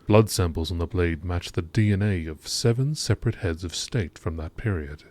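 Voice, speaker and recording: A man reading scripted science-fiction lore entries as a narrator, in a measured, formal nature documentary style. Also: deep voice, darkish tone.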